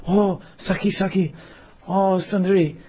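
A man's voice: high-pitched, flat delivery, measured pace, mellow, breathy edge, dull audio, voice pushed up. A man voicing a group of young women friends who are distressed and fussing, crying out anxiously.

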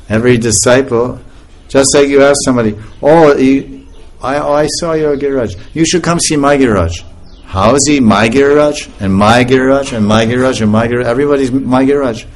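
A man's voice: medium pitch, a little fast. A man giving an informal spiritual talk to a group, laughing often as he tells stories. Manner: laughing often, animated storytelling.

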